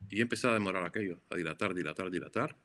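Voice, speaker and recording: A man's voice: deep voice, very fast, a bit bright. A middle-aged Cuban man with a Cuban Spanish accent, answering interview questions in a reflective way, often restarting and repeating words. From Cuba. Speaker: photographer, interview guest. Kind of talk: conversational interview.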